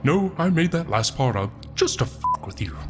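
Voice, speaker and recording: Guy doing a snarky devil reading an old book, super theatrical n deliberate, pitch all over, bit of music behind. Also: huge pitch swings. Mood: sardonic. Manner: theatrical, deliberate, with wry asides. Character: sardonic, foul-mouthed male Satan reading an old book aloud. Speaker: narrator. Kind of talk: comic audiobook-style narration.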